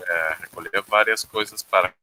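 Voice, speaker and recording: A man moderating an academic panel, summarizing reflectively in halting, informal phrases. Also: low voice, steady tone, background noise.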